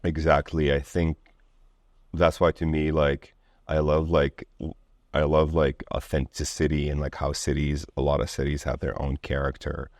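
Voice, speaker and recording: A man from Lebanon with a very deep voice talks as a guest in a relaxed interview. He rambles informally, leaning on "like" and "you know". The sound is dry, with little room in it. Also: normal speed, no echo. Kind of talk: casual conversational interview.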